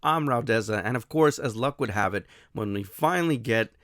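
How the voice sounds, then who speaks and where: A man hosting his own review show, talking casually and excitedly while a little hoarse from losing his voice. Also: medium pitch, normal speed, no room sound, clean studio sound. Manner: enthusiastic, animated, swears casually.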